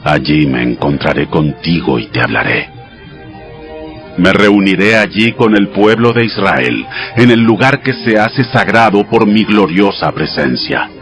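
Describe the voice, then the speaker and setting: A man playing God as a solemn, commanding male deity, delivering measured commands in a dramatized audio scripture. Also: sits low, lively intonation, a bit bright, full character voice. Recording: music behind the voice. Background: music.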